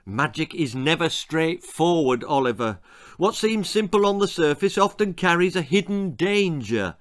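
A man's voice: fairly high pitch, very animated, normal speed, fairly bright tone, voice pushed up. A man voicing a stern, urgent old running coach in a storybook, commanding and emphatic. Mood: grave.

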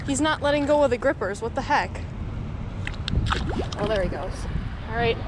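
A woman in her twenties giving excited live commentary while fishing at a creek, gushing with exclamations, recorded on an action camera outdoors. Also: on the high side, moderate pace. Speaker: fishing vlogger. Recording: outdoor camera mic with water noise. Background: flowing water.

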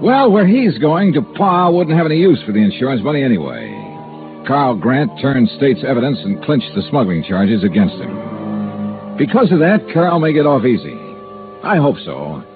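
A man's voice: medium pitch, big pitch swings, moderate pace, close to an everyday voice. An actor playing a cool, wry male insurance investigator in a clipped 1950s radio drama style. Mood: brisk, wry. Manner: clipped, wry, hardboiled delivery. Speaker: series star, lead actor and narrator. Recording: muffled old-time radio recording with music.

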